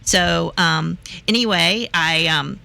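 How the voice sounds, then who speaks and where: A woman's voice: lowish voice, slow pace, vocal fry, sharp tone. A woman in her fifties from West Virginia, chatting as a talk show guest, laughing easily and talking with many fillers and restarts. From West Virginia, USA. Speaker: guest, reproductive rights activist. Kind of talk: casual interview talk.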